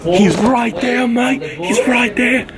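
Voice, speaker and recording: A man's voice: very high voice, slow, voice pushed up. A guy doing a brash, bumbling wildlife poacher. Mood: excited. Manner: excitable, keeps tacking "mate" onto lines. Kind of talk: improvised comedy skit. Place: school building, indoors. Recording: echoey halls with crowd chatter. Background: students chattering.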